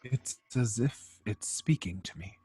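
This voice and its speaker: A man playing a gentle, troubled android in love, hesitant and earnest, in an amateur film table read. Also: lowish voice, lively intonation, unhurried, soft background music. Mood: pained, uncertain. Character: gentle, lovestruck, troubled male android hero.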